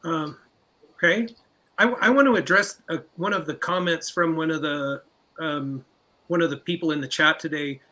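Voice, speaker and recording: A man's voice: a little high, normal speed, pushed and bright. A man hosting a live stream, giving casual advice with some filler words. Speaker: host.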